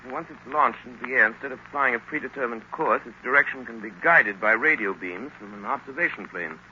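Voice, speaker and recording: Brisk, piercingly bright suave radio sleuth. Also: male voice, medium pitch. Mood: cool, assured. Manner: assured, explanatory. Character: suave, knowing gentleman sleuth in a radio mystery. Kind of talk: 1930s radio drama acting. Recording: dull, hissy old radio recording.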